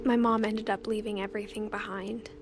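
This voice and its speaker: An actress playing a reflective teenage girl, narrating her family's past in a soft, measured, subdued way for a video game. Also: mid-range voice, level tone, music in the background, natural voice. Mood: pensive, melancholy. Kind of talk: scripted first-person game narration.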